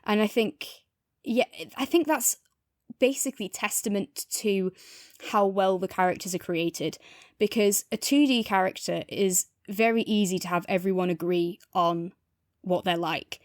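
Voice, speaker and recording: A woman's voice: mid-range voice, unhurried, no echo, studio quality. A woman chatting casually and thoughtfully as a podcast co-host in a review discussion.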